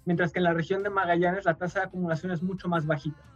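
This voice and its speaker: Male guest, from Mexico, patient explainer, slightly high voice, dull lo-fi sound with faint music. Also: little pitch movement, very fast. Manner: patient explainer with frequent fillers. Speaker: guest scientist. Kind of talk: radio interview, explaining.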